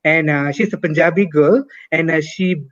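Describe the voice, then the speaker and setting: A man's voice: fairly high pitch, moderate pace, fairly bright tone, dry recording. A man from Malaysia, talking earnestly and hesitantly as a guest on a video call. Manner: earnest, hesitant, many uh fillers. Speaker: guest on a live stream. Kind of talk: casual video-call chat. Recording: dull lo-fi call audio.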